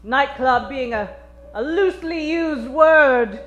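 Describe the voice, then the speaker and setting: A woman's voice: high-pitched, takes it slowly, fairly bright tone, lo-fi sound. A woman playing a brassy, desperate, strong dame client in a comedic detective radio play, with hard-boiled melodramatic patter. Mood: confessional.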